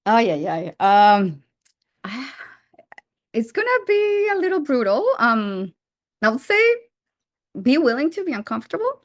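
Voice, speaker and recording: A woman's voice: fairly high pitch, big pitch swings, a little slow, pauses often, dry recording. A woman in her forties with a Colombian accent, a startup founder answering interview questions with frequent restarts and fillers. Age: 40s.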